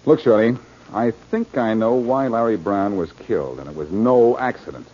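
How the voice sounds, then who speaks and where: An actor playing a cool, wisecracking American amateur detective in a 1940s radio drama, wry and self-assured. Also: male voice, on the low side, moderate pace, darkish tone, lightly acted. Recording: noisy, muffled old radio recording.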